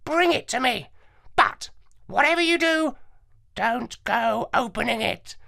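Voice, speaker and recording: A woman voicing a brainy, broody male parrot astronaut, rasping and lecturing in a children's story. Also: a little high, animated, slow, bright, forceful tone, no echo, studio quality, voice pushed up, full character voice. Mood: scheming. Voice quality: rasping, squawky. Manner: lecturing, conspiratorial.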